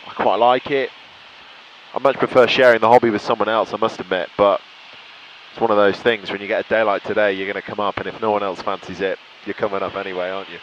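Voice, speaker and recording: Low-voiced British man over clear cockpit noise. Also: steady tone, quick pace, muffled recording. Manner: enthusiastic, reflective. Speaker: pilot vlogger. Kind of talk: casual talk to viewers while flying. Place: cockpit of a small light aircraft in flight.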